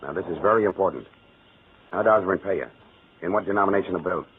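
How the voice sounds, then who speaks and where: Actor as gruff low-voiced police detective. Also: male voice, quick pace, natural voice. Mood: pressing, interrogating. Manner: clipped, pressing, tough. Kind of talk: 1940s radio crime acting. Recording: tinny, noisy old radio recording.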